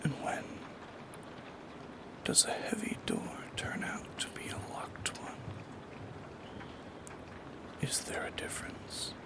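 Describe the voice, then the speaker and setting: Man whispering as a slow, shy shadow creature. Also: lightly acted. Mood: anxious musing. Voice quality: breathy whisper with creaky edges. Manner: halting, soft-spoken, intimate, musing. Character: lonely, shy, yearning shadow creature lurking by the bed. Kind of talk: ASMR roleplay monologue.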